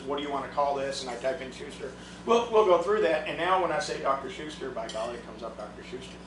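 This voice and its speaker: A man with a slightly high voice runs a relaxed, chatty training session, often restarting his sentences. He stands well away from the microphone in an echoey meeting room. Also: a little hiss or hum. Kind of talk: casual training talk. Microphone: room mic, far from the speaker. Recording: echoey room recorded from far off.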